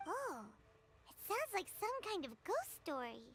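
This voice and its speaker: A woman's voice: sing-song, a little slow, a bit bright, exaggerated voice. A woman voicing a tiny, childlike female fairy companion in a video game, cheeky and nosy, with exaggerated reactions. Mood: curious, surprised. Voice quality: squeaky, childlike. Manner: talks about herself in third person, exaggerated reactions. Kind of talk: scripted English video game voice acting. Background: game music.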